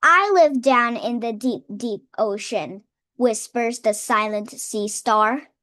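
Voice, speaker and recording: Higher-voiced woman reading a storybook, dry sound. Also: takes it slowly, bright, forceful tone, dry recording. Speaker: children's story reader. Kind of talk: read-aloud of a children's picture book.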